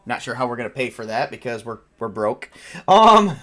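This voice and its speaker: A man with a mid-pitched voice runs a tabletop roleplaying game in a casual, improvised way. He rambles through scene descriptions, often repeating and rephrasing himself, and slips into in-character banter. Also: big pitch swings, moderate pace, sharp tone. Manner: rambling, repeats and rephrases himself, makes spoken sound effects. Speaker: game master and player.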